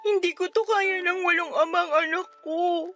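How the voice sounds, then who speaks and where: An actress playing an anxious, exasperated twenty-year-old daughter in a radio drama, speaking in a plaintive, emotional way. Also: female voice, very high voice, fairly even intonation, moderate pace, fairly bright tone, soft background music. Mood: upset, pleading. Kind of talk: Tagalog radio drama acting.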